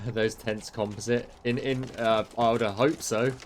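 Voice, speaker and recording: A man's voice: fairly low pitch, fairly even intonation, unhurried, a little hiss or hum. A man, a game mod developer chatting casually on a livestream, rambling and answering chat with many fillers, his voice getting tired. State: losing his voice.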